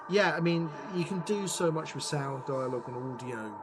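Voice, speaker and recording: A man's voice: fairly high pitch, lively intonation, normal speed, very noisy. A middle-aged man talking as a podcast guest about his work in a chatty, playful and enthusiastic way. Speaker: guest, audio drama producer and director.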